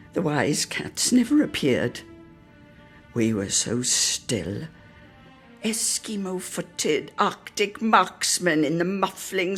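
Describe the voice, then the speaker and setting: A woman's voice: lowish voice, slightly rough. A woman giving a theatrical, lyrical reading of a literary story, savouring each phrase. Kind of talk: theatrical scripted story narration.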